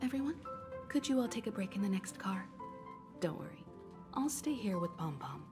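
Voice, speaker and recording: An actress playing a kind, composed woman who gently reassures others, over game music. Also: medium pitch, sing-song, moderate pace, close to an everyday voice. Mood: reassuring.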